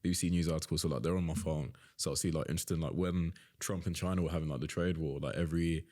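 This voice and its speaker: Young man with a very deep voice. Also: steady tone, quick pace, dark tone. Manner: rambling, many fillers like "like" and "you know". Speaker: co-host and interviewer. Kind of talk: casual podcast chat.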